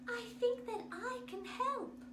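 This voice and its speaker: A woman voicing a sweet, kindly old woman healer with a gentle, musing manner in a children's storybook. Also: very high-pitched, takes it slowly, pitched above the performer's own voice. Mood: gentle, knowing. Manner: sweet, musing, repeats words and hums. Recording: roomy, distant mic, noisy background.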